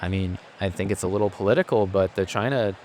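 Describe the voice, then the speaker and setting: A man's voice: low voice, quick pace, darkish tone, slight background noise. A man who grew up in Asia, a guest on a podcast, talking at length in a casual, thoughtful way with frequent restarts. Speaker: podcast guest, company founder. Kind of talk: casual interview conversation.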